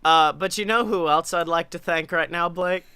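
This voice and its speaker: A young man with a high, bright voice hosts a casual comedy podcast. He talks in an animated, joking way and swears freely. The sound is close and dry. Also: normal speed, clear, resonant tone, no room sound. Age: young adult. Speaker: podcast co-host. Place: bedroom-like room at home, video call.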